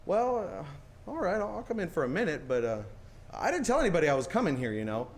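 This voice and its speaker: Male actor restless young small-town man, 1940s radio style, quick stammering delivery, very animated, faint noise. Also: a little high. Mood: awkward, defensive. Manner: stammering, hesitant, then bursting out. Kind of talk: 1940s radio play acting.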